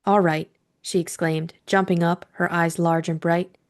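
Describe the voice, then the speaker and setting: A woman's voice: on the low side, level tone, unhurried. A woman narrating a classic detective novel and discussing it as co-host. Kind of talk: scripted story narration and book discussion.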